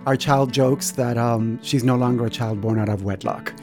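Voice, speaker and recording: A man from Cuba talks about his life in an easy, good-humored way. Clear guitar music runs beneath his voice. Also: mid-range voice, steady tone, normal speed. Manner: warm, good-humored, laughs lightly. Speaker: interviewee. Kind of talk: personal storytelling.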